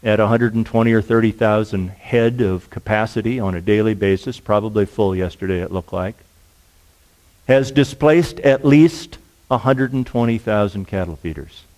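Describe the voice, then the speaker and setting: A middle-aged man from Nebraska, a lawyer, giving a measured, earnest public lecture at a university, his voice sinking to a hush at the end of each point. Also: fairly low pitch, low-quality recording. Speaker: lawyer and speaker.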